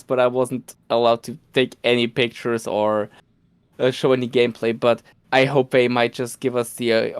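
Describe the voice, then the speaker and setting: A man whose first language is Polish, telling excited stories as a guest in a casual podcast chat. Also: mid-range voice, clear, resonant tone, no echo. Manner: excited storytelling with laughs and restarts.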